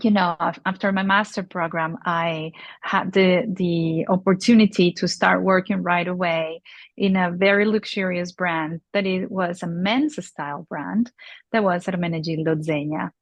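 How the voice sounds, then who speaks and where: A woman in her forties from Peru, answering interview questions in a halting way with many fillers and restarts. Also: on the low side, steady tone, dry recording, highs cut off. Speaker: luxury industry guest. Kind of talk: conversational interview.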